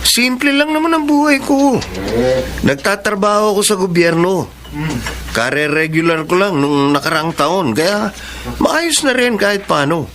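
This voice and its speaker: Animated, easygoing Filipino friend. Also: male voice, sits high, unhurried. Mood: modest, pleased. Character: modest, easygoing government employee, loyal friend. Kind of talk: Filipino radio drama acting. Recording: noisy radio drama track.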